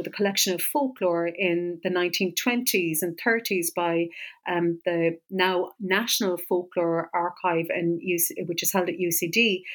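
An Irish woman historian speaks in a lowish voice, answering an interviewer's questions. She talks thoughtfully, circling back with fillers and restarts as she builds each point. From west of Ireland. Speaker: historian and author.

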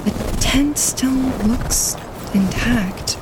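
A woman playing a practical wildlife photographer on a winter camping trip in a naturalistic audio drama, speaking in a hushed, matter-of-fact, low-key way. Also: very slow. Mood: uneasy, wondering. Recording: clear outdoor ambience noise behind.

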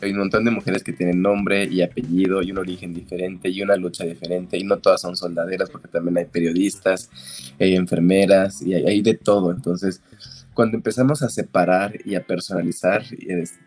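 A man's voice: sits low, flat delivery, a little fast, a little mellow, husky, soft background music. A man, an author answering interview questions in a frank, opinionated way. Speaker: author and guest.

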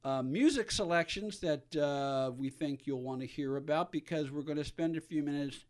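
Higher-voiced male radio host. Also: moderate pace. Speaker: radio talk show host. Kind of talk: conversational radio hosting.

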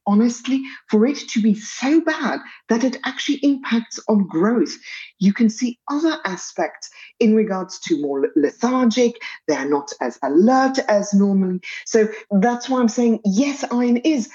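A woman's voice: on the high side, mellow, lo-fi sound. A woman with a South African accent, a pediatric dietitian answering interview questions and working through possible causes one by one. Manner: measured, lists factors one by one. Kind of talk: expert explaining in a podcast interview.

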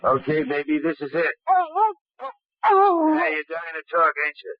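A man's voice: fairly high pitch, huge pitch swings, measured pace. An American actor playing a menacing thug kidnapper in old-time radio crime drama, with taunting, slangy tough-guy talk. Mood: gloating. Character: sneering, menacing thug kidnapper, a butler gone bad. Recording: dull, muffled old radio recording.

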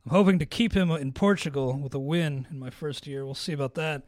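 Raspy-voiced male podcast host. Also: a little high, brisk, no echo, clean studio sound. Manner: clears throat often. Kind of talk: casual fantasy baseball chat.

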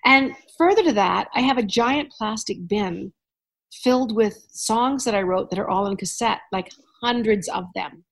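A middle-aged woman from Canada hosting a relaxed podcast chat. She spins anecdotes with animated asides and easy laughter, at a medium pitch. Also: normal speed. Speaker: podcast host. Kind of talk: casual chat.

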